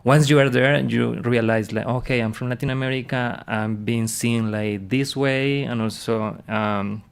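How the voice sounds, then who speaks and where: A man, a university professor chatting casually in English with many pauses and fillers. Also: medium pitch, steady tone, creaky, clear, resonant tone. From Colombia. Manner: hesitant, many fillers and restarts.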